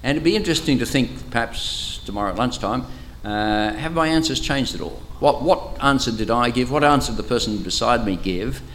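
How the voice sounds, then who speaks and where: A man's voice: medium pitch, lively intonation, a little fast, a bit bright, slight background noise. An older man with an Australian accent and a powerful voice, leading an interactive Bible study at a church camp in a chatty, teacherly way with frequent hesitations. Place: church camp meeting room. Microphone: microphone, sometimes moves away from it.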